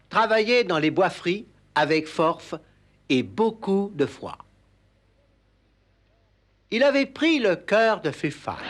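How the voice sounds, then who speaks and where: Comedy sketch: pompous lisping poetry reader, high pitch, slow, solemn delivery. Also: male voice, a bit bright, exaggerated voice. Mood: solemn, dramatic. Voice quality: muddled sibilants, lisp-like impediment. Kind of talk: theatrical comic poetry recital.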